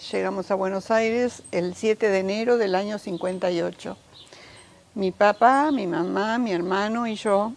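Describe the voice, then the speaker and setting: A woman's voice: mid-range voice, a little slow, slight background noise. An older woman born in Italy, giving a documentary testimony in a reminiscing, storytelling manner. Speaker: interviewee.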